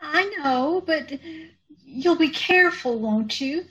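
A woman's voice: sits high, lively intonation, slow, a bit bright, muffled recording, heavily acted. A woman playing a tender, fretful mother of a grown son in an old-time radio drama, hesitant and pleading. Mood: worried, tearful.

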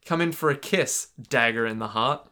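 A man's voice: medium pitch, normal speed, sharp tone. A man, co-hosting a podcast in casual chat, thinking aloud with playful, rambling guesses and lots of fillers. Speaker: podcast co-host.

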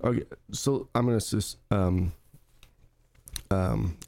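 Rambling low-voiced podcast co-host. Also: male voice, slow, vocal fry, darkish tone. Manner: rambling, many fillers like "you know". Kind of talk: casual chat.

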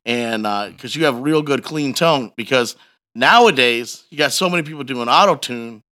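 A man's voice: medium pitch, animated, moderate pace, sharp tone. A man hosting a casual interview, talking in an emphatic, slangy way. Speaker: podcast host.

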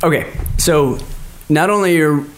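A man's voice: on the high side, unhurried, a little hiss or hum. Male podcast host, casual and talkative, garage studio sound. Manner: loose and chatty, laughs often, many fillers. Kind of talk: casual interview chat.

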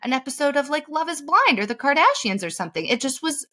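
A woman's voice: high voice, sing-song, fast talker, pushed and bright. A woman co-hosting a podcast, chatting casually and gushing with lots of "like" fillers. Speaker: podcast co-host; mom in business. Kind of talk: casual chat.

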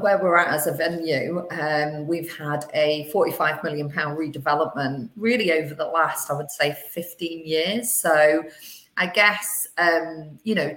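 Hoarse, upbeat woman with a low voice. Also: echoey room, poor audio. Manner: upbeat, chatty, energetic. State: slightly hoarse. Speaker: venue sales director. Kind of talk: podcast interview guest.

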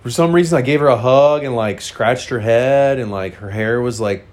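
A man's voice: mid-range voice, normal speed, darkish tone. A man with a congested, nasal voice, casually telling a frank, rambling personal story full of fillers.

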